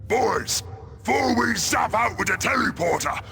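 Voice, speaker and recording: An actor playing a brash, scheming ork captain in a video game, growling crude slang in a Cockney English accent. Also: male voice, sits high, animated, a little slow, bright, forceful tone, shouting, soft background music, exaggerated voice. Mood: indignant, greedy. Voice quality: gravelly, growling. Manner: bellowing, crude ungrammatical slang. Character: brash, scheming male ork pirate captain hungry for loot. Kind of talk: video game cutscene acting.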